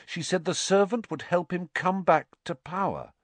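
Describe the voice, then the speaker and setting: A man voicing an earnest, high-pitched troubled schoolboy. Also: moderate pace, no echo. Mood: anxious, urgent. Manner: agitated, insistent, hesitant in places. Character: earnest, troubled teenage schoolboy wizard, male. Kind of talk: audiobook character voice.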